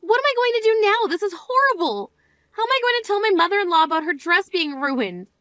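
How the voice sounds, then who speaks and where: A woman voicing a composed young bride in an animated story, speaking in a firm, cold, measured manner. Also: fairly high pitch, dry recording, studio quality, lightly acted. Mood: shocked.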